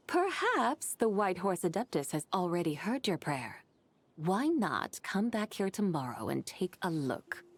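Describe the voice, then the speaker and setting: A woman playing a serene, playful immortal in a video game, speaking formally with gentle teasing. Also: fairly low pitch, big pitch swings, slow pace, full character voice. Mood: coaxing. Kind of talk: video game character acting.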